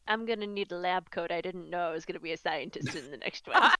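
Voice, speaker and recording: A woman's voice: on the low side, quick pace, bright, forceful tone, slight background noise. A woman playing on a tabletop roleplaying stream, bantering casually with the group.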